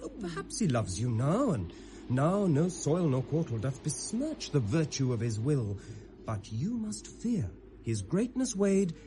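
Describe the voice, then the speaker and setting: A man's voice: a little high, warm tone. A British man playing an earnest young nobleman, a protective brother, with theatrical classic Shakespearean radio delivery. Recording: hissy vintage radio drama recording.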